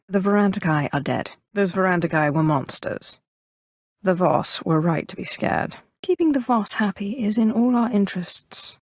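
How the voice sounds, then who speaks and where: Low-voiced, imperious Sith sorceress. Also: female voice, husky, dry recording, studio quality. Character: commanding Sith sorceress, cold, haughty, calculating adult woman. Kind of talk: video game character dialogue.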